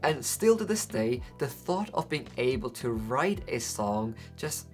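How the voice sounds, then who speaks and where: A man's voice: mid-range voice, sing-song, measured pace, soft background music. A young man with a Faroese accent, whose first language is Faroese, hosting a book channel and talking to the camera in a conversational way, often asking rhetorical questions. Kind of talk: talking to camera about books.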